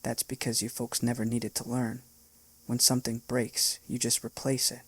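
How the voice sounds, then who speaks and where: Man reading as a down-to-earth veteran mechanic, husky, flat tone, dry sound. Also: medium pitch, normal speed. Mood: matter-of-fact. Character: practical, easygoing human mechanic, seasoned man.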